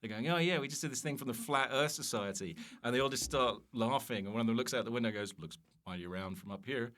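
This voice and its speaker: A man chatting casually as a comedian guest on a podcast, telling self-mocking stories in an animated way. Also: mid-range voice, quick pace. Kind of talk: casual comic storytelling chat.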